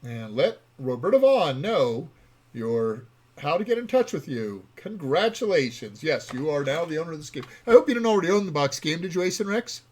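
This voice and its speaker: A man's voice: on the high side, big pitch swings, moderate pace. A man chatting casually and playfully as he co-hosts a livestream about game development. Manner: playful, punning.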